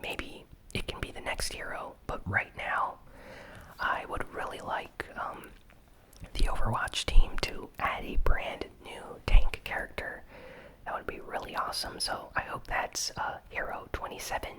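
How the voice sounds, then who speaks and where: A host whispering an ASMR gaming news recap in a breathy, unvoiced, hushed and hesitant, unscripted way. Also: unhurried.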